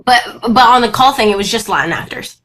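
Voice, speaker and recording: Bright lively young woman actor. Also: on the high side, fast. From Brazil. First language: Portuguese. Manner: lively, laughs often, many restarts. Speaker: actor guest. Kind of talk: casual interview chat.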